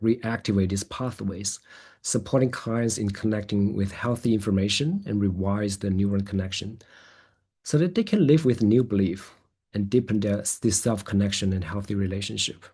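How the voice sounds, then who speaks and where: Man, webinar speaker, low-pitched, mellow tone, halting phrasing. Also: breathy edge. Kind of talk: presentation and question answering.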